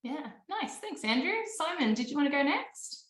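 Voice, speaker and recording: A woman's voice: high voice, big pitch swings, normal speed, roomy sound. A woman with an Australian accent moderating an online panel, chatty and upbeat with many ums. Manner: chatty, upbeat, frequent ums and light laughs.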